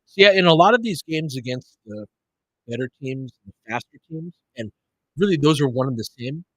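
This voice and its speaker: A man's voice: mid-range voice, expressive, moderate pace, no echo, rough recording. A man giving live basketball commentary and analysis in an informal, conversational way. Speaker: play-by-play commentator and host.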